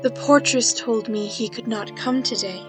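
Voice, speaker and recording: A woman playing a gentle, soothing nun who nurses the sick in a period audio drama, with a gently firm manner. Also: a little high, normal speed, over music, natural voice. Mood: soothing, concerned. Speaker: actor. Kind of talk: radio-style period drama acting.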